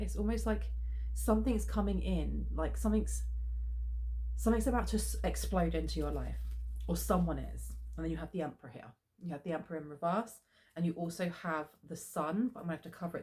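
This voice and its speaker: A woman's voice: fairly low pitch, mellow. A woman with a head cold, sniffly, giving a chatty tarot card reading with plenty of ums. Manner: chatty, many filler ums. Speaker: tarot reader.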